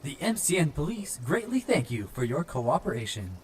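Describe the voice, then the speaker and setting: Stiff, high-pitched android policeman. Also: big pitch swings, normal speed, a little hiss or hum. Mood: stiff, official. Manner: stilted, emotionless official phrasing. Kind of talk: audiobook character voice.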